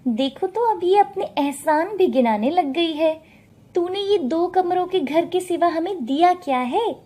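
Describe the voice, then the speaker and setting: Woman acting a shrill, scornful young daughter-in-law. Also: animated, unhurried, mellow. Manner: nagging, taunting, bossy.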